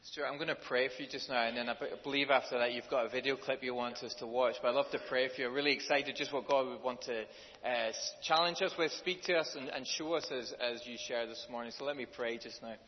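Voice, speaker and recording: A man's voice: medium pitch, fairly even intonation, fast. Hesitant man hosting a church service. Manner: warm-hearted, hesitant, repeats words. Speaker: church leader and service host. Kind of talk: introducing a guest and praying. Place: church hall. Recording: dull distant hall recording.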